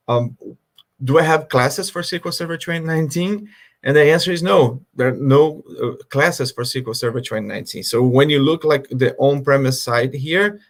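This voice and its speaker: Man presenting slides on a call. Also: medium pitch. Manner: hesitant, filler-heavy explaining. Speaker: guest speaker. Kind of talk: online conference presentation.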